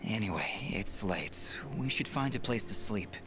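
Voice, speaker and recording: A man's voice: lowish voice, expressive, moderate pace, over music, close to an everyday voice. A man voicing a brooding young male swordsman hero in a game, speaking with anguished intensity. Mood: gentle. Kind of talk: game cutscene dialogue.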